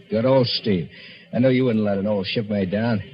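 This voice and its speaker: Actor as sly, wheedling drifter, muffled vintage radio. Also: male voice, lowish voice, normal speed, natural voice. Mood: sly, insinuating. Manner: wheedling, insinuating, colloquial. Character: sly, down-on-his-luck old shipmate turned blackmailer. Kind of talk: old-time radio thriller acting. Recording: muffled old radio recording.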